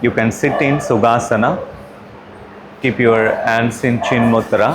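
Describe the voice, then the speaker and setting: Male yoga teacher, Tamil Indian accent, mid-pitched, calm guided-relaxation delivery with long unhurried gaps, some ambient noise. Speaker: yoga instructor. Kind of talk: guided relaxation and yoga class instruction in short spaced phrases.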